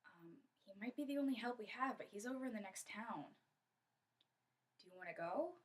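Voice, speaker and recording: A woman's voice: on the high side, lively intonation, normal speed, recorded from a distance, lightly acted. A woman voicing a stern, practical father in a story, speaking emphatically. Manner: emphatic, scolding. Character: stern, exasperated father, practical, takes charge.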